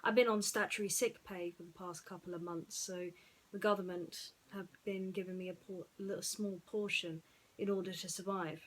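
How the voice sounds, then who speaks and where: A woman storyteller talking in a musing, unhurried way, with pauses, as in bedtime reading. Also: fairly low pitch, fairly even intonation, slight background noise, rough recording.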